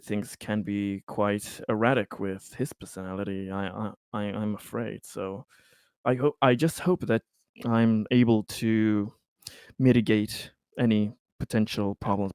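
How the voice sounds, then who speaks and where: Diplomatic male investigator played by a man in tabletop roleplay, halting, persuasive, low-pitched, dry acoustic. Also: clean tone, no room sound. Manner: halting, careful, softly persuasive. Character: cautious, diplomatic male investigator gently pressing an informant.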